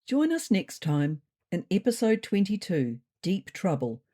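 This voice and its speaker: Female audiobook narrator: measured and expressive, soft-edged timbre, lots of pitch movement in scripted first-person stories.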